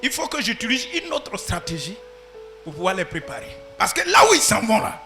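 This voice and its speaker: A man preaching a sermon as pastor, swinging from shouted peaks to quiet asides. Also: high-pitched, very animated, a little fast, faint music behind.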